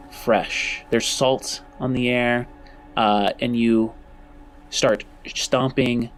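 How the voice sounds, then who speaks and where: A man narrating a tabletop roleplaying game as game master, with dramatic pauses and deliberate phrasing. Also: lowish voice.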